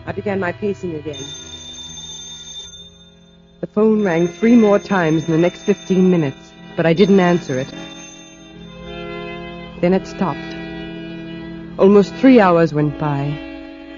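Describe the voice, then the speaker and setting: An actress plays a troubled wife confessing her story in 1940s radio style. Her voice is dark and soft, with an anxious, melodramatic edge. The old recording is dull, with music behind. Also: fairly low pitch, a little slow, long pauses, close to an everyday voice. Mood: haunted, subdued. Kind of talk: 1940s radio drama acting, first-person narration.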